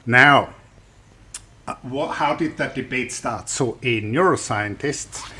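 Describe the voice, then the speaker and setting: Man, German accent, mid-pitched voice, casual science explainer, restarts sentences, faint background hiss. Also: slow, pushed and bright. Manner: chatty, thinking aloud with restarts. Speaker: science YouTuber. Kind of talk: explaining research for the general public.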